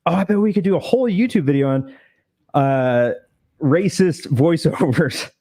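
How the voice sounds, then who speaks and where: A man's voice: on the high side, lively intonation, moderate pace, dark tone, no room sound, clean studio sound. A man co-hosting a casual podcast, joking and bantering animatedly. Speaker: podcast co-host.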